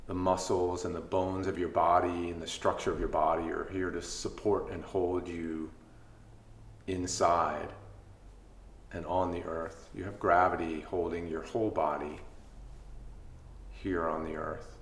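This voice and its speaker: A man leading a guided body scan meditation as a mindfulness instructor, speaking gently with unhurried pauses between phrases. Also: deep voice, flat delivery. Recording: echoey room, faint background noise.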